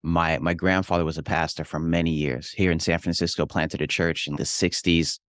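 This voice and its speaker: A man's voice: very deep voice, level tone, normal speed, a bit bright, dry recording, clean studio sound. A young adult man from Hawaii, a real estate investor and pastor, telling his life story in an earnest, reflective way. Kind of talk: casual interview storytelling.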